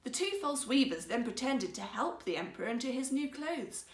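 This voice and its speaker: A woman storyteller narrating a fairy tale in an expressive, theatrical, carefully phrased way. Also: high voice, moderate pace, roomy sound.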